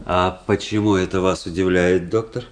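A man's voice: sits low, steady tone, moderate pace, slight background noise, close to an everyday voice. An actor playing a cool, proud young nobleman officer in a Russian radio drama, speaking with composed, self-assured irony. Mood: dry irony.

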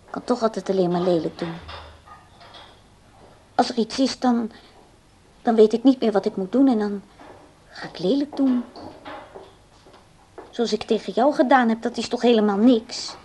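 Actress as blunt, troubled woman for radio play: raised pitch, slightly noisy recording. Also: moderate pace, long pauses, warm tone, close to an everyday voice. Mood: agitated, remorseful. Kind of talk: Dutch radio play acting.

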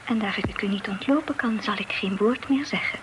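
An actress playing a well-bred young Dutch lady in a radio play, formal, coy and gently reproving. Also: mid-range voice, brisk, close to an everyday voice. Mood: prim, coy. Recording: noisy old radio play recording.

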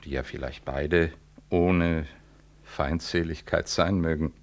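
Very deep, creaky, solemn priest. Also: male voice, heavily acted. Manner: deliberate, formal, weighty pauses. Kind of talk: 1960s German radio play acting.

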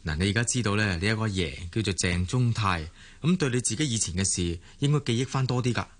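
A man's voice: lowish voice, quick pace, lightly acted. An actor with a Hong Kong Cantonese accent plays a down-to-earth, sceptical young man in a radio drama. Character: down-to-earth young grandson, loyal and sceptical friend.

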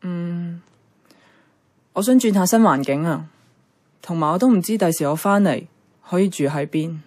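A woman's voice: lowish voice, moderate pace, darkish tone, lightly acted. An actress with a Hong Kong Cantonese accent playing a thoughtful, frank young woman in a radio drama. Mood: hesitant, frank. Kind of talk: radio drama dialogue and narration.

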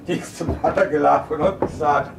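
A man's voice: a little high, fairly even intonation, a little fast, vocal fry. Plain-spoken older village man, noisy recording. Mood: matter-of-fact recollection. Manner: colloquial, earthy, recounting memories. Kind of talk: naturalistic radio drama interview. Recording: noisy location-style radio recording.

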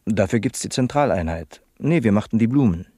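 A man's voice: fairly low pitch, animated, brisk, warm tone, husky, no echo, studio quality. An actor playing an earnest, literal-minded young male office apprentice in a satirical radio play, matter-of-fact and officious. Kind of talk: satirical radio drama acting as an interviewee.

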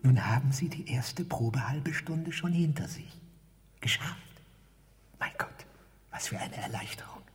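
An actor playing a meek, wheedling garage attendant in a radio drama, with wordy, formal pleading that often drops toward a breathy whisper. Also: male voice, on the high side, a little slow, long pauses, close to an everyday voice. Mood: relieved, sly. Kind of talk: theatrical radio drama dialogue. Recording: reverberant hall-like space.

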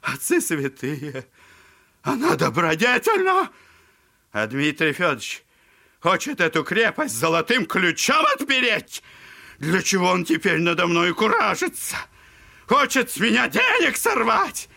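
A man's voice: high-pitched, huge pitch swings, measured pace, vocal fry, exaggerated voice. An actor playing a ranting, clownish, spiteful old father in an audio drama, theatrical and whining with mock piety. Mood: aggrieved, wheedling. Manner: theatrical, whining, mock-pious wailing and shouting. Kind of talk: Russian classic audio drama acting.

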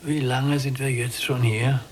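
An actor playing a weary, withdrawn old blind prisoner in a literary radio play, terse and resigned. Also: male voice, medium pitch, little pitch movement, unhurried, a little hiss or hum, natural voice. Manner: terse, resigned, demanding questions.

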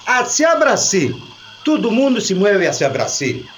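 A woman's voice: mid-range voice, huge pitch swings, measured pace, slight background noise. An actor, a woman, playing a festive Brazilian carnival announcer in a radio drama, theatrical and exclamatory, with a Brazilian accent and Portuguese mixed into the Spanish. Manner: theatrical, exclamatory, repeats phrases.

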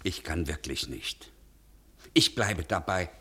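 Crisp, officious stickler pharmacist. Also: male voice, sits low, expressive, slow pace, fairly bright tone, heavily acted. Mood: stubborn, indignant. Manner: officious, emphatic, fussy. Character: rigid, conscientious male pharmacist, stubborn stickler for rules. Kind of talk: 1950s German radio play acting. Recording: vintage 1950s radio recording.

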